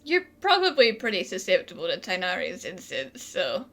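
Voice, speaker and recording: A woman's voice: on the low side, wildly swooping pitch, moderate pace, fairly bright tone, faint music behind. A woman streaming a video game, giving casual, chatty commentary and talking back to the characters. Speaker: gaming streamer.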